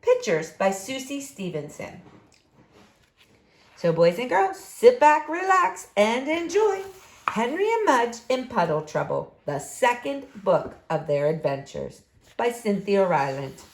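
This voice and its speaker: A woman's voice: on the high side, sing-song, a little slow. A woman reading a picture book aloud expressively to young listeners. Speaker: story reader for children. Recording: echoey room.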